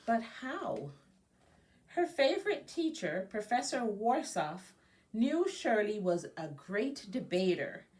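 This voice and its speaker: A woman reading a children's picture book aloud, phrasing deliberately and stressing key words. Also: mid-range voice, darkish tone, far from the mic. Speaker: guest storybook reader.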